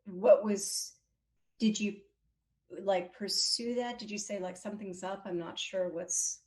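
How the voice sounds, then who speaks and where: Animated woman around fifty. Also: medium pitch. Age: 50s. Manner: open, animated, quick to laugh. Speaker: guest. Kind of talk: casual conversation.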